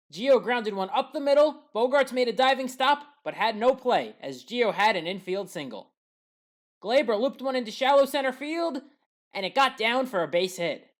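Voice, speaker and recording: A woman giving scripted play-by-play breakdown narration as a sports highlights commentator, in a matter-of-fact, clipped way.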